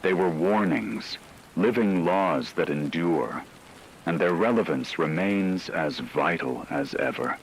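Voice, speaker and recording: A man playing a radio newsman who gravely reports a supernatural horror in formal, ominous scripted narration. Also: sits low, animated, slow, a little hiss or hum, heavily acted, rough recording. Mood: grave, solemn.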